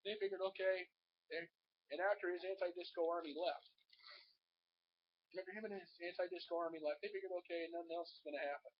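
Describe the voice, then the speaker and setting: Hoarse male sports vlogger, high pitched, rambling, muffled webcam sound. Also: steady tone, quick pace, pauses often, a little hiss or hum. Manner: chatty, rambling, addresses viewers as folks. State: hoarse with a cold. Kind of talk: casual sports commentary to camera. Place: home.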